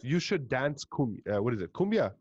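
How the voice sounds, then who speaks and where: A young man with a Montreal English accent, hosting a podcast in casual chat, an animated storyteller who swears and puts on voices. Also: mid-range voice, normal speed, breathy edge, no room sound, muffled recording. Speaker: podcast host.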